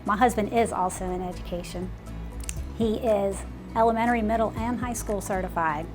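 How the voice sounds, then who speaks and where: A woman's voice: mid-range voice, normal speed, music in the background. A woman in her forties, a school principal, talking earnestly and reflectively in an interview, often saying "like" and "you know". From United States.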